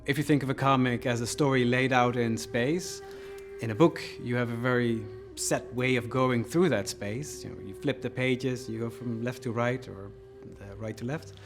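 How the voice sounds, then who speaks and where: A man's voice: medium pitch, moderate pace. A man casually explaining his work as a developer in an interview, informally thinking aloud. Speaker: creative developer. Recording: music behind the voice.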